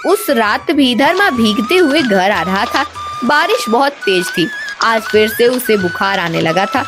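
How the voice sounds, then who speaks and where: With music behind her, a woman tells a children's story in a fairly high voice. Her scripted narration is expressive, with lively rises and falls. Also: unhurried.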